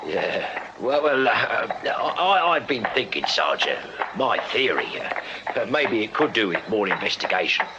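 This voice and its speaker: A low, lively police constable. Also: male voice, unhurried. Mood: flustered. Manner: deferential, plain-spoken, bumbling. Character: loyal, plain-spoken Victorian London police constable. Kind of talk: radio drama acting. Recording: muffled radio drama, loud crowd noise.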